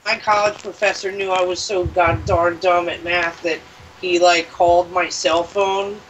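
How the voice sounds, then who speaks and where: Slow, low-voiced, giggly woman, slightly off-mic. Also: flat delivery, recorded from a distance, muffled recording, slight background noise. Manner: laid-back, giggly, drawn-out. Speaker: vlog co-host. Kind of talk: casual chat.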